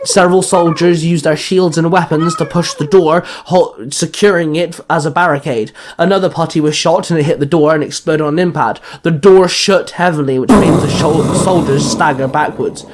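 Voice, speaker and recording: A man with a somewhat high-pitched voice narrates a scripted action story. He reads it out as a storyteller and sometimes trips on a word before correcting himself. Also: steady tone, normal speed, hardly any pauses, slight background noise. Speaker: podcast narrator reading fan fiction.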